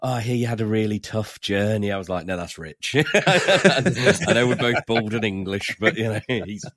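Lively-pitched man telling a story. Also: moderate pace. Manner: teasing, storytelling. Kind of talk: casual chat.

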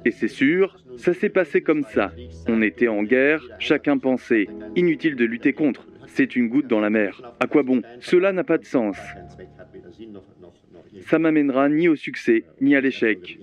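Guy doing a stiff bureaucrat defending himself at trial, formal and measured, muffled old radio sound. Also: medium pitch, animated, soft background music, natural voice. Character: accused former Nazi official on trial, rigid, self-justifying. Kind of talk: radio drama acting.

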